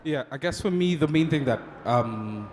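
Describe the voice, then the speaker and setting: A man's voice: a little high, lively intonation, normal speed, slight background noise. A man answering on a conference panel, hesitant and full of ums. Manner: hesitant, filler-heavy. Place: large conference hall. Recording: roomy echoey conference hall.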